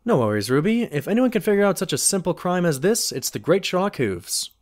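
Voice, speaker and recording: An actor playing an earnest, easily flustered pony doctor, an expressive sidekick in an audio drama. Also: male voice, sits high, brisk. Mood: reassuring. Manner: expressive, stammering when embarrassed.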